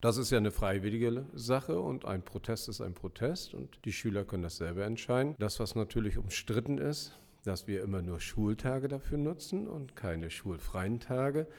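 A man with a dark, mellow, medium-pitched voice answers questions as a teacher being interviewed. The recording has the long echo of a hall. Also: lively intonation, moderate pace, vocal fry, hardly any pauses. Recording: echoey hall.

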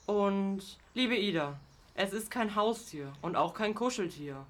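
A woman's voice: mid-range voice, expressive, measured pace, lightly acted. A woman playing a practical, matter-of-fact parent in an amateur radio play. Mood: instructive.

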